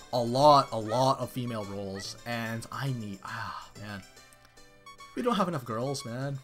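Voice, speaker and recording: A man chatting casually to viewers on a live game stream, excitable and rambling, with many restarts. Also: mid-range voice. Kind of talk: casual live-stream chat while playing.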